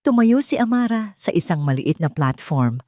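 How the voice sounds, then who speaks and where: A woman's voice: mid-range voice, sing-song, normal speed, mellow, dry recording, studio quality. A woman narrating a scripted dramatic story.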